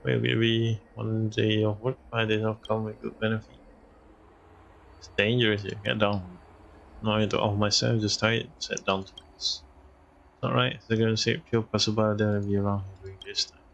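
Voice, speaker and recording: A man's voice: lowish voice, little pitch movement, normal speed, vocal fry, fairly bright tone, muffled recording, slight background noise. A man streaming a game, casually reacting and muttering along in a loose, mumbled way. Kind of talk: casual reactions and reading along while playing.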